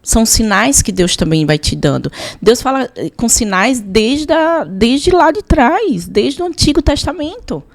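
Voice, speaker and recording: A woman's voice: a little high, very animated, a little slow, few pauses. A woman with a Brazilian accent telling personal testimony as a podcast guest, emphatic, repeating phrases and voicing her own prayers.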